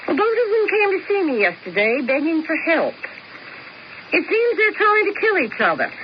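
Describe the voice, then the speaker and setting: A woman's voice: extremely high pitch, huge pitch swings, moderate pace, heavily acted. An actress playing a fussy, vain older woman with arch, precise diction in a radio drama. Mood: wry, dramatic. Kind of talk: old-time radio drama acting. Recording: muffled, noisy old radio recording.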